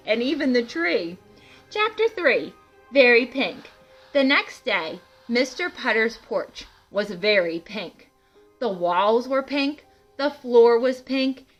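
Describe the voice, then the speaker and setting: A woman reads a children's picture book aloud at an unhurried pace. Her voice is bright and clear in intent, with animated delivery and chatty asides to young listeners. Music plays behind her. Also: a little high, lo-fi sound. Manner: animated, asks listeners questions. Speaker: read-aloud host for children.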